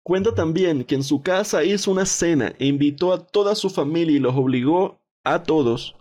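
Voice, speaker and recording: Male podcast co-host, young, Venezuelan accent, pitched a bit above average, loose slangy gossip delivery with bits of English. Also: normal speed, darkish tone. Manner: informal, slangy, peppered with English words. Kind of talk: casual gossip chat, partly reading out posts.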